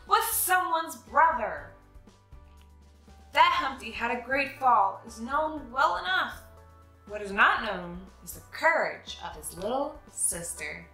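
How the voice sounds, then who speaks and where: Young female read-aloud host, bright lively delivery, dramatic storybook phrasing, recorded in a roomy space. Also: fairly high pitch. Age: young adult. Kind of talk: expressive picture-book storytelling.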